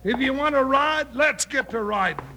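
An actor playing a big, boisterous deputy sidekick in a 1950s radio drama, with a high, twangy comic voice and a drawl. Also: male voice, animated, normal speed. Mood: hearty. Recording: old radio transcription with loud hiss.

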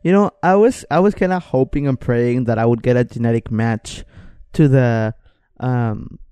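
A man's voice: medium pitch, normal speed, dark tone, smooth, no echo. A young man co-hosting a podcast in casual chat, joking around with slang and swearing. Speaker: podcast co-host.

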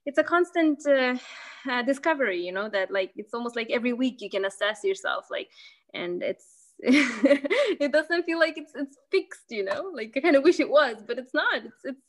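A young woman from Lithuania talks through her story in a casual podcast interview. Her voice sits a little high. She hesitates often, circling back with fillers and restarts as she thinks aloud. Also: lively intonation, normal speed. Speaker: podcast guest.

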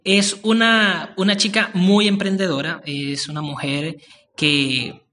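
A man hosting a casual podcast interview, speaking in a warm, rambling way with frequent hesitations. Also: a little high. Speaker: podcast host.

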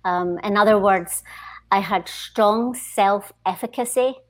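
Scottish woman, thoughtful interview guest. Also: mid-range voice. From Scotland. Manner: thoughtful, frequent "um" pauses and repeated starts. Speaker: podcast guest, HR leadership consultant. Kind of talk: conversational interview.

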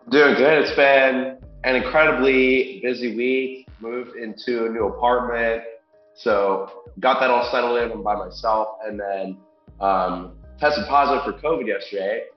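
A man's voice: medium pitch, measured pace, soft background music. A young man with a congested, nasal voice, co-hosting a sports podcast in a casual chatty way, with frequent ums and restarts. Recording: echoey room, dull lo-fi sound.

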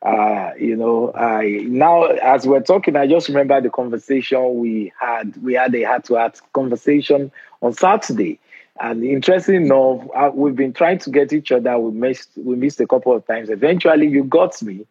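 A Nigerian man in his forties with a Nigerian accent, hosting a conversational interview in an earnest, measured way with frequent restarts. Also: medium pitch, darkish tone, breathy edge, dry recording, muffled recording. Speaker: host.

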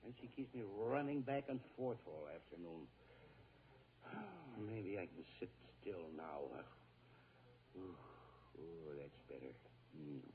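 Flustered, blustering 1940s radio husband, tinny recording. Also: male voice, low voice, animated, slow pace, long pauses. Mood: grumbling, puzzled. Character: flustered, easily rattled middle-aged suburban husband and father. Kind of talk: 1940s radio comedy acting.

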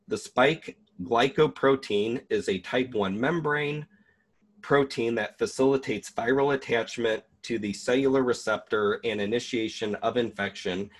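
A man hosting an online video, reading a document aloud and commenting in a deliberate, emphatic way. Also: mid-range voice.

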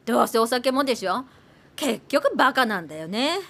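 An actress playing a sardonic, resentful screenwriter daughter in a naturalistic Japanese radio drama, blunt and quick to retort. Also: female voice, a little high, very animated, fairly bright tone, exaggerated voice. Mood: scornful. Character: sharp-tongued, resentful screenwriter daughter of a film director.

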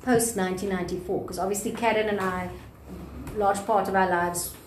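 A woman's voice: mid-range voice, steady tone, brisk. A middle-aged woman with a South African accent, interviewing conversationally as a podcast host. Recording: echoey room, faint background noise.

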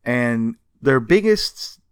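A man's voice: medium pitch, very animated, extremely slow, clear, resonant tone, dry recording. Guy podcast cohost explaining stuff casually, regular midrange voice, really dry recording, tosses in quoted asides. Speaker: podcast co-host. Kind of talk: casual conversational explaining of comics history.

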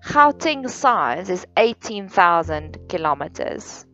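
A woman's voice: fairly low pitch, very animated, slow, a bit bright. A woman from South Africa, a native Afrikaans speaker with a South African accent, delivering a careful solo podcast monologue with occasional restarts. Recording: dull lo-fi sound with faint music.

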